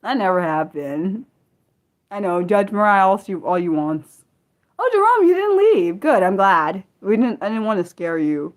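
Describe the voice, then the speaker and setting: An American woman hosting a casual livestream, rambling and laughing often, trailing off with self-deprecating asides and swinging from loud bursts to soft mumbles. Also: medium pitch, animated, moderate pace. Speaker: livestream host. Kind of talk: casual unscripted livestream chat, answering viewers.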